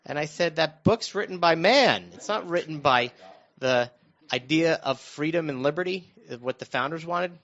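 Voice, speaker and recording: A middle-aged American man telling stories casually on a talk show, halting and restarting his phrases as he goes. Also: fairly high pitch, animated, a bit bright. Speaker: radio show panelist recounting his own news. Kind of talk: casual conversational storytelling. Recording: dull, lo-fi muffled sound.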